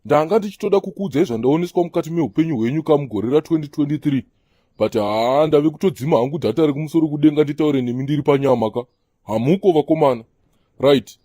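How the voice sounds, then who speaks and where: A man's voice: fairly high pitch, quick pace, dark tone, no echo, studio quality, lightly acted. A man voicing a preachy older Zimbabwean prophet in a comedy cartoon, holding forth to the younger men like an elder. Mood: preachy, chiding. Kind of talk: comedy cartoon dialogue in Shona mixed with English.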